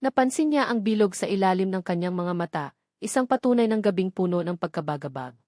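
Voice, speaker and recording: A woman's voice: mid-range voice, expressive, quick pace, darkish tone, no echo. Woman narrating a romance drama, dry close sound. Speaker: story narrator.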